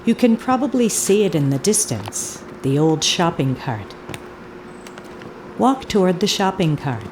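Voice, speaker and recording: Slow, low-voiced eerie tour guide. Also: female voice, very animated, background noise. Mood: calm, commanding. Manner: deliberate, instructing, repeats phrases hypnotically. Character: eerie female audio tour guide giving slow commands. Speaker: audio tour narrator. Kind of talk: scripted audio drama narration. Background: ambient field recording and foley sounds.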